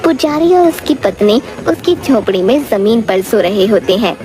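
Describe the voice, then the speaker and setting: A woman's voice: a little high, lively intonation, moderate pace. Woman narrating a story over music.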